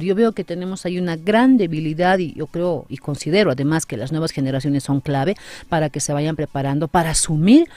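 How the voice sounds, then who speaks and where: A woman in her forties, a councillor interviewed in a small radio studio, explaining her views earnestly and with emphasis on a boom-arm mic. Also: dark tone, dry recording. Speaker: guest, city councillor.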